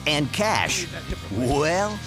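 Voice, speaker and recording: Guy narrating a mock documentary, lively up and down voice, loud music behind. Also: on the high side, drawn-out words. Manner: dramatic, tongue-in-cheek announcer delivery.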